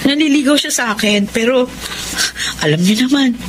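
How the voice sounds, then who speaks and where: A woman's voice: sits high, lively intonation, moderate pace, noisy background. An actress in a Tagalog radio drama playing an earnest, self-doubting young woman torn by love, confiding and dramatic. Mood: wistful. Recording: loud music under the voice.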